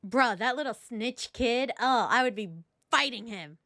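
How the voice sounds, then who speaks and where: A woman's voice: fairly high pitch, measured pace, bright, forceful tone, dry recording, studio quality. A woman game streamer giving casual reaction commentary in a joking, slangy way.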